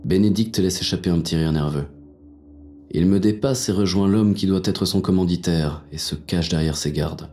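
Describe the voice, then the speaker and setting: An actor playing a hard-boiled, bitter police inspector who narrates a thriller in terse, intense first person. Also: male voice, low voice, moderate pace, mellow, soft background music. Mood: tense, wary. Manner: gritty, terse, intense delivery.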